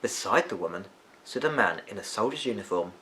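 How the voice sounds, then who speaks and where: Animated male storyteller. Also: fairly low pitch, brisk, low-quality recording. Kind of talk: expressive children's audiobook-style narration.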